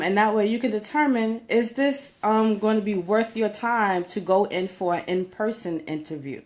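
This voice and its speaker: A woman speaking as a career coach in an informal advice vlog, conversational and emphatic, often checking in with her listeners. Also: mid-range voice. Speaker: career coach giving job interview tips.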